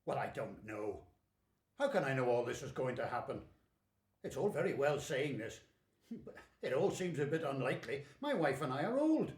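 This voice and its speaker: An actor playing a startled, doubting old priest in a radio drama, with a hesitant, stammering manner. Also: male voice, mid-range voice, sing-song, moderate pace, roomy sound, lightly acted. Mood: doubtful. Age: older.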